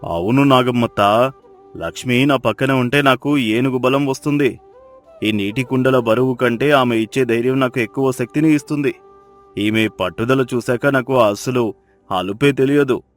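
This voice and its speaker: A man's voice: mid-range voice, moderate pace, faint music behind, natural voice. A man voicing a hardworking, devoted village husband, tender and admiring, in a moral story.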